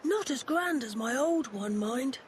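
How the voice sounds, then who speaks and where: A woman's voice: high-pitched, animated, unhurried, a little hiss or hum. A woman voicing an eager, bookish young boy in a video game, chirpy and informal. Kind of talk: video game cutscene acting.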